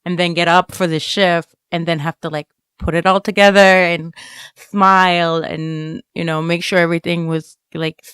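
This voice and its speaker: A woman giving a reflective personal interview, speaking haltingly and often dropping in "like" and "you know.". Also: on the low side, fairly even intonation, fairly bright tone, clear, resonant tone, no echo, studio quality. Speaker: interviewed writer.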